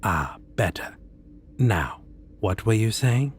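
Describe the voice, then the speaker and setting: A man's voice: fairly low pitch, lively intonation, slow, a little hiss or hum. A man playing a theatrical, suave and condescending costumed supervillain in an ASMR roleplay. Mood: incredulous. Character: theatrical, stately, amused supervillain in costume interviewing a minion.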